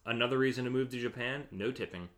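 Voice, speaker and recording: A man's voice: mid-range voice, fast, fairly bright tone. A man hosting a podcast in casual chat, talking in an opinionated, emphatic way and swearing freely. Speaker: podcast host.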